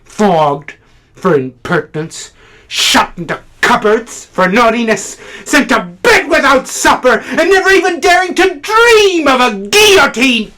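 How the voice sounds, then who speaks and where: A man's voice: high voice, animated, fairly bright tone, heavily acted. A man playing a fervent, swooping literary critic. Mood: indignant. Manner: impassioned, halting then declamatory. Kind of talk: theatrical stage monologue.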